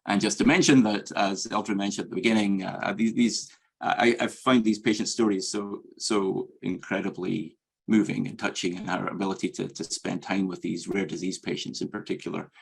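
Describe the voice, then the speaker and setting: A Scottish man delivers an academic medical presentation in a low voice with a husky edge. He speaks in a measured, earnest manner, pausing and hesitating as he goes, with a creaky fry under his words. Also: steady tone. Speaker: medical professor presenting a lecture. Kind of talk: academic grand rounds presentation.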